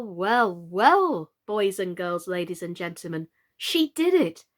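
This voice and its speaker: A British woman narrating a children's story in an expressive, theatrical and deliberate storyteller's manner. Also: a little high. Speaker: storyteller, actress.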